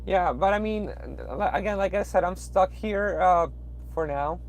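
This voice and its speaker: A man's voice: sits high, a little slow. A young man from Peru with a Peruvian Spanish accent, chatting casually on a late-night livestream from inside his truck cab, rambling with lots of fillers and restarts. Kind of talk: casual livestream chat reading viewer comments.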